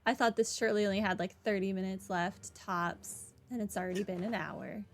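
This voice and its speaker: A woman's voice: mid-range voice, steady tone, fairly quick. A woman giving casual commentary as she plays through a video game. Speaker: gaming streamer. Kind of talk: casual playthrough commentary, reading game dialogue choices. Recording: faint game music behind.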